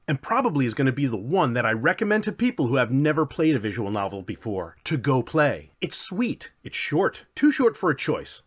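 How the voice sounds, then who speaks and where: A man's voice: mid-range voice, husky. A man narrating a scripted comedic review as host, with a theatrical, wry, self-deprecating delivery.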